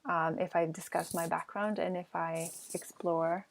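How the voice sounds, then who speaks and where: A woman from Peru, an artist answering questions in an online talk, with many pauses, ums and restarts. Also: on the low side, flat delivery, gravelly, slight background noise. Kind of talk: casual Q&A answers.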